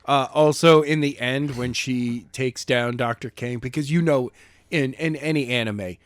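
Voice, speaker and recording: A man co-hosting a podcast in casual chat, dropping wry, deadpan quips. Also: mid-range voice, a little slow, no echo. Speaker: podcast co-host and narrator.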